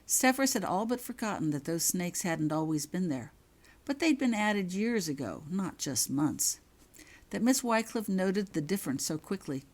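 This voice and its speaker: A woman narrates a scripted story as its author. Her voice sits low and moves expressively through a wide range. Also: big pitch swings, normal speed, darkish tone. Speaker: author reading own fan fiction.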